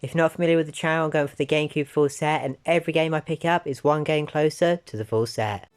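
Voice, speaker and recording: A man narrates a casual vlog about hunting for retro games. His voice is croaky from a cough and sore throat, and he talks in a chatty, enthusiastic way. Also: fairly high pitch, quick pace. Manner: chatty and enthusiastic, repeats "really, really". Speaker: retro game collector vlogger.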